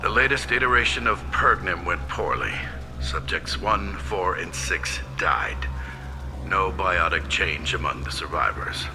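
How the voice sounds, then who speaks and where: A detached scientist recording a lab log for a video game. The voice is low and coldly matter-of-fact, with music clearly behind it. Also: male voice, measured pace. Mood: clinical. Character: cold, detached male scientist logging human experiments.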